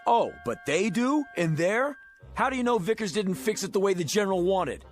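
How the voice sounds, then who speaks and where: An actor in a radio drama playing a brash, bitter working-class hustler who talks in combative, slangy wisecracks. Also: male voice, sits high, animated, moderate pace, soft background music.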